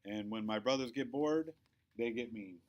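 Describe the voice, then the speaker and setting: Male storybook reader, mid-pitched, expressive read-aloud of a children's novel. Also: normal speed, dull audio, soft background music. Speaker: audiobook-style reader.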